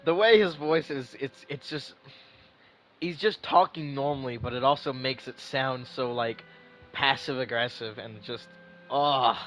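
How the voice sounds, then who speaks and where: A man's voice: mid-range voice, measured pace, a bit bright. A man giving rambling, wry, casual reaction commentary on a video game story. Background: game music.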